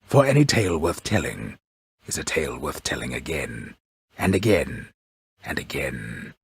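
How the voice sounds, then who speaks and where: Game narrator: a grave mythic storyteller, extremely low and very husky, pompous, wry, ornate delivery. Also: male voice, dry recording, studio quality, full character voice. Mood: solemn, wry. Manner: solemn, deliberate, ornate, wryly deadpan. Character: grave, pompous old storyteller narrating Greek myth, wryly ominous. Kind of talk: scripted dramatic storybook narration.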